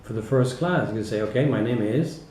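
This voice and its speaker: French guy explaining stuff like a teacher, kinda halting, mellow voice, roomy echo. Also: mid-range voice, slight background noise. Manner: hesitant, halting, often checks with "okay". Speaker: teacher, interview guest. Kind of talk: interview answers, reflective explaining. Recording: echoey, roomy sound.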